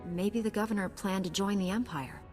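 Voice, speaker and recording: An actress playing a confident, brisk Jedi knight heroine, direct and resolute, in a video game cutscene. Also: female voice, mid-range voice, steady tone, darkish tone, soft background music, lightly acted. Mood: matter-of-fact.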